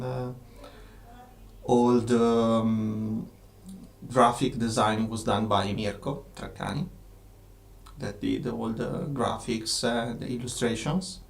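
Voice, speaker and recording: A man with an Italian accent hosting a casual livestream Q&A as a game designer, talking in a halting way with many filler pauses and sounding a little tired. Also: medium pitch, vocal fry.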